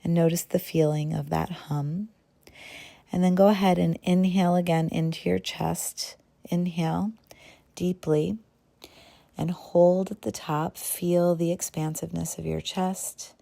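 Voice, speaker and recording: A woman hosts a guided visualization in a low-set, mellow voice with plenty of creak at the edges. She moves gently through short phrases, leaving pauses between them. The delivery is that of soothing meditation narration. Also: flat delivery.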